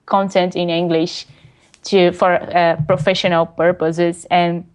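A woman's voice: lowish voice, level tone, measured pace. A Brazilian woman, a non-native English speaker with a Brazilian Portuguese accent, answering interview questions in a hesitant, casual way with many fillers. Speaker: guest author.

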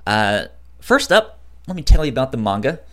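A man's voice: medium pitch, very animated, moderate pace, a bit bright. A man chatting casually on a podcast, with a frequent stutter that repeats syllables and catches on words. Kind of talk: casual podcast chat, giving recommendations.

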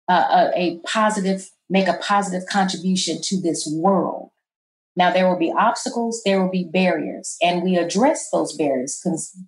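A middle-aged woman with a soft, low voice, guesting on an interview and talking in a calm, measured way that turns emphatic at times. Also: roomy sound, slight background noise, low-quality recording. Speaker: college president, guest. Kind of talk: interview conversation.

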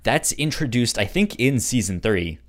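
A man co-hosting a podcast, discussing a TV show casually in a halting way as he feels out his words. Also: mid-range voice, animated. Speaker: podcast co-host.

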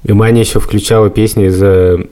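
A middle-aged man with a low voice and a soft, dark tone. He speaks as a podcast host in casual conversation, thinking aloud as he tells his stories. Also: level tone, brisk. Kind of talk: casual chat.

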